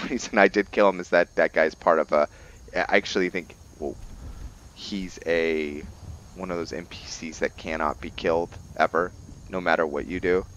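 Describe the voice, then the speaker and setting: A man's voice: low voice, fairly bright tone, muffled recording. A man hosting a gaming video, giving casual roleplay commentary in a musing, thinking-aloud manner.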